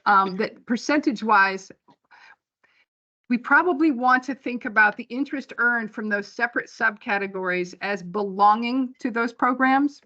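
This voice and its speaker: A woman's voice: on the high side, normal speed, sharp tone. Woman director reporting figures, muffled audio. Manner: plain, practical, walks through figures slide by slide. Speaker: program director presenting finances. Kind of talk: board meeting report.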